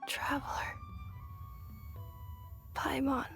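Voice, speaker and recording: A woman playing a frail humanlike machine girl in a video game, dazed, faint and halting. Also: fairly bright tone, in a whisper, soft background music. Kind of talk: video game character acting.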